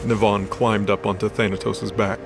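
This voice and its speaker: A man narrates a scripted story in a lowish voice. His delivery stays flat and even, with music clearly playing underneath. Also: moderate pace.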